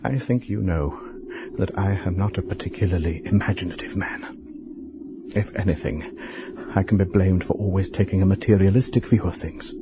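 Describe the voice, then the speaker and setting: Low-voiced, haunted gentleman, lo-fi. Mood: uneasy, restrained. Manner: formal, measured, halting delivery with weighted pauses. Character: frightened, educated man writing a letter in a haunted house. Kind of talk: dramatic first-person horror monologue, radio drama. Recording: dull, lo-fi, noisy radio drama recording.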